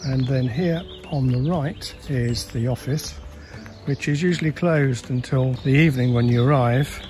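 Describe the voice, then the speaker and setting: A man with a relaxed, chatty manner, narrating a tour of a campsite as he walks, recorded outdoors on a handheld camera. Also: mid-range voice, a little slow. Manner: relaxed, chatty, guiding with frequent fillers. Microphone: handheld camera, filming while walking.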